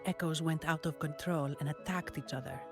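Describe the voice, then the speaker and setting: A composed, wry banker from a video game. Her voice sits low and she talks in a dry, matter-of-fact manner. Music plays behind her. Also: steady tone, brisk. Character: cool, businesslike, wry female bank employee.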